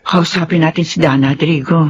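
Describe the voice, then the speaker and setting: An actress playing a kind, conciliatory middle-aged mother in a radio drama, speaking in a gentle, placating way. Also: low-pitched, fairly even intonation, brisk, a little hiss or hum, natural voice. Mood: concerned. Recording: dull radio recording with music behind.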